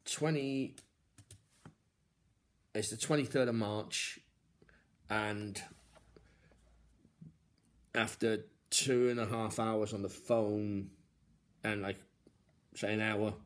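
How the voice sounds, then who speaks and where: A man chatting informally and hesitantly to viewers and on a phone call, with a matey, sweary, venting style. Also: on the low side. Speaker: comedian filming himself on a helpline call.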